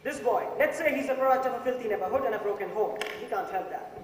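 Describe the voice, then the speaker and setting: Stage play: man as cool, opinionated juror, fast Indian-accented speech, big echo. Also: very high-pitched. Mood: cool, insistent. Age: young adult. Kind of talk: amateur stage play acting. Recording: distant, very echoey hall, faint noise.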